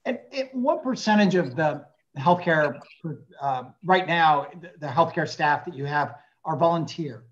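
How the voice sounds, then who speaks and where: A man's voice: on the high side, expressive, measured pace, hardly any pauses. A man in his fifties interviewing conversationally over a video call from a room indoors. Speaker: interviewer, journalist. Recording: slightly dull video call audio.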